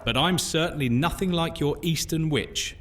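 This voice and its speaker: A man's voice: a little high, normal speed, a bit bright, natural voice. An actor playing a curious, chatty half-witch newcomer in an audio drama, inquisitive and informal. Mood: chatty, amused. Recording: music under the voice.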